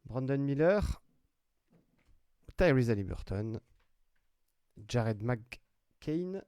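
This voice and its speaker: A man hosts a card break in an unhurried, drawn-out delivery, calling out player names one after another between casual remarks. His voice sounds dry and close, with no room around it. He talks as he handles packs, chatty and loose. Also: medium pitch, animated, darkish tone, clean tone, dry recording. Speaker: trading card break host. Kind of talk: live commentary while opening card packs.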